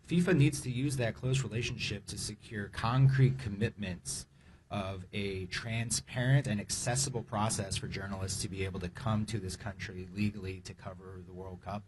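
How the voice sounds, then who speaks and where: A man with a low voice makes an emphatic statement at a news conference, building his point by repeating key phrases. A faint hiss sits underneath his voice. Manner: firm, emphatic, repeats phrases for rhetorical effect.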